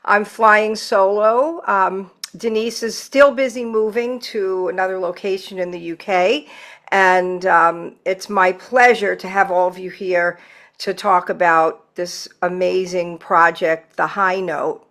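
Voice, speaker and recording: A woman's voice: medium pitch, steady tone, slow pace, fairly bright tone. A woman hosting a podcast over a video call, chatting casually and gushing with enthusiasm. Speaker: podcast host. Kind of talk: casual interview chat.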